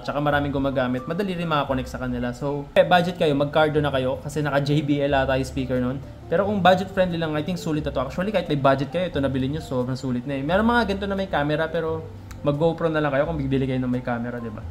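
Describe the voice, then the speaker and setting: A man's voice: a little high, quick pace, faint music behind. A Filipino man talking casually to viewers in a conversational product review vlog, as a motovlogger. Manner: conversational Taglish, addressing viewers.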